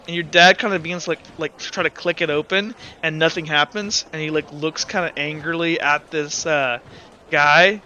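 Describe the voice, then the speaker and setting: Bright-voiced man running a tabletop game. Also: a little high, fairly even intonation, lo-fi sound, slight background noise. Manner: halting, filler-heavy. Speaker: game master. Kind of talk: casual improvised tabletop game narration.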